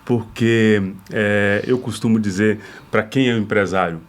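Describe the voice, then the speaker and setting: A man's voice: on the low side, steady tone, a little slow. Chatty Brazilian male podcast host. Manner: chatty, frequent tag questions. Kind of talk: casual interview.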